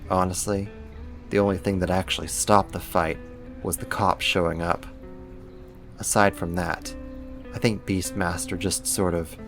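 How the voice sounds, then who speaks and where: A man acting in an ASMR roleplay as a caring, wry young supervillain roommate, speaking softly and conversationally with hesitant pauses and gentle teasing. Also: low voice, gravelly.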